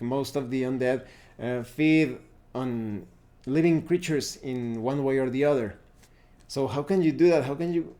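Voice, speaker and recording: Mellow-voiced man explaining, halting delivery. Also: mid-range voice. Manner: halting, thinking aloud, repeats and restarts phrases. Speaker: tabletop gaming review host. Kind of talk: unscripted explanatory talk to viewers.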